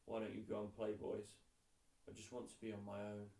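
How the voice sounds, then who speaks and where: A downcast, withdrawn father, played by a man reading a storybook speaks hesitantly and quietly, with creak in his voice. The room adds a clear echo. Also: on the low side, completely flat delivery, brisk. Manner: subdued, hesitant. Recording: roomy echo, distant mic.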